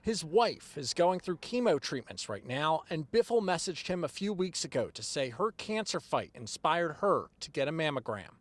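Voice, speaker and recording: A man's voice: on the high side, sing-song, normal speed, few pauses, no echo. An American man narrating a scripted TV news report as a reporter.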